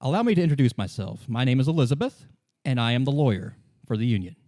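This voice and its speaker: Game role: female union lawyer acted by a man, brisk, controlling, matter-of-fact, recorded dry. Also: medium pitch, lively intonation, warm tone, no room sound, lightly acted. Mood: brisk, firm.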